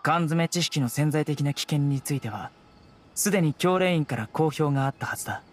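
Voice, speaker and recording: A man's voice: on the high side, a little fast, a little hiss or hum, close to an everyday voice. A man playing a stern young law enforcer in a Japanese video game, composed, blunt and commanding. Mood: stern, cool.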